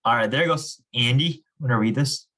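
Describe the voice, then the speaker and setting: A man's voice: mid-range voice, moderate pace, bright, forceful tone. A man leading an online reading club in a casual, encouraging, informal way. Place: online group video call.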